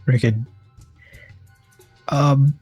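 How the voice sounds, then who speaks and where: A man of medium pitch plays a tabletop game. He thinks aloud and stumbles through his ideas, with soft music playing behind him. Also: fairly even intonation, extremely slow, breathy edge, no echo. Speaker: player. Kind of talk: casual tabletop roleplay chat.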